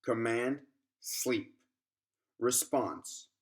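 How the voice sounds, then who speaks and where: A man narrating a scripted clinical report in a measured, formal manner, occasionally stumbling over lines. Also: medium pitch. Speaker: narrator reading a document.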